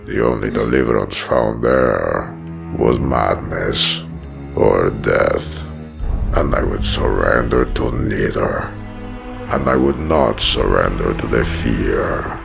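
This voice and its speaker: A man playing a brooding, menacing prison-hardened villain who narrates his origin in a gravelly, solemn, deliberate voice for a comic dub. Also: a bass voice, full character voice. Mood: grim, resolute. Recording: music behind the voice.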